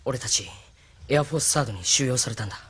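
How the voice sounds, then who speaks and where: Guy as a grim soldier pilot, talks fast, mid-range voice, audio drama, slight background noise. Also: mid-range voice, a bit bright, close to an everyday voice. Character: grim male military pilot reporting losses. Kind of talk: Japanese audio drama acting.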